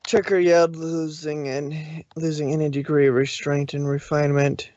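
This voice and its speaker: A man narrates a story in an amateur reading style. His voice sits a bit high, and he can trip over words as he reads. The sound is dry and somewhat dull, from a close home microphone. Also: little pitch movement, normal speed, a little mellow, dry recording.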